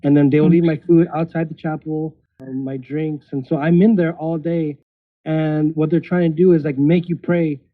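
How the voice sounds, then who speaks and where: A man's voice: a little high, little pitch movement, moderate pace, no echo. A man in his thirties, a podcast guest speaking casually with hesitant restarts and nervous laughs. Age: 30s. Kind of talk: casual interview. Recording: tinny phone-like call audio.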